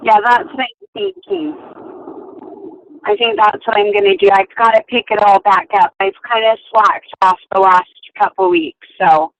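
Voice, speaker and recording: A woman chatting casually and quickly in a group conversation. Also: medium pitch, a bit bright, slight background noise. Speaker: participant. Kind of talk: casual chat. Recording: dull dry lo-fi call audio.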